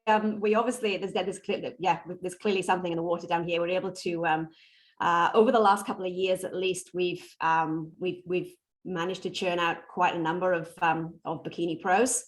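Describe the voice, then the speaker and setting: Welsh-born woman, 40s, softened accent, lower voice, measured guest. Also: steady tone. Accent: Welsh softened by New Zealand. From Wales. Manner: measured, polite, with frequent ums. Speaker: podcast guest, bikini competitor. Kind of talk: interview answers.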